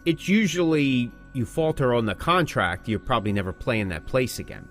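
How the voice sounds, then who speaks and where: A man's voice: medium pitch, animated, normal speed, soft background music. A man co-hosting a podcast, explaining things in a casual, emphatic, conversational way. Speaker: podcast co-host and working band musician.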